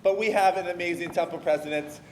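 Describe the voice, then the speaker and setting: Guy introducing the next speaker at a service, kinda high, echoey. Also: fast. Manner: casual, with fillers. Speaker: service leader. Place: synagogue sanctuary. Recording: echoey sanctuary with faint noise.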